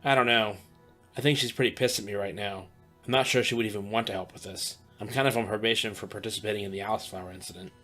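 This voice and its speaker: A man's voice: lowish voice, steady tone, fast talker, a bit bright. A man voicing a young male protector who is a worried, hesitant brother, with faint music behind. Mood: uneasy. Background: faint background music.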